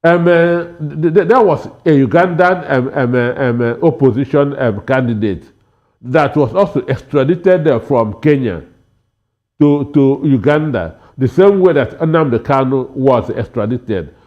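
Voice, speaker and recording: A man giving political commentary as a panel guest, halting and repeating words for emphasis, on dull lo-fi audio. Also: medium pitch, animated.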